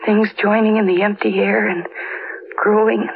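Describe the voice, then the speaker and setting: An actress playing a frightened, earnest young wife in an old-time radio drama, halting and pleading in her delivery. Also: medium pitch, flat delivery, lightly acted. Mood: fervent, insistent. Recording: noisy, dull old radio recording.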